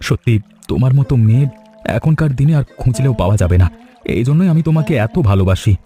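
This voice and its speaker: Cartoon hero, acted by a man: humble young fisherman, earnest and plaintive, quick delivery, mellow tone. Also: mid-range voice, animated, faint music behind, lightly acted. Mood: tender, worried. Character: humble, anxious young poor fisherman in love. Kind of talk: acted cartoon story dialogue.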